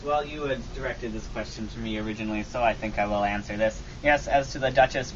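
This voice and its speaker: A man playing a composed, self-important airship captain in a comic radio drama, measured and formal. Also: mid-range voice, a bit bright, natural voice. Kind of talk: comic old-time radio acting. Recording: muffled recording with faint noise.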